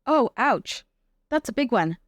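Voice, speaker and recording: A woman's voice: fairly high pitch, sing-song, measured pace, no echo, studio quality. A woman with a Canadian accent speaking as an interview guest in a casual, reflective way, informal and thoughtful as she explains things. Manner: informal, thoughtful, explains through examples and rhetorical questions.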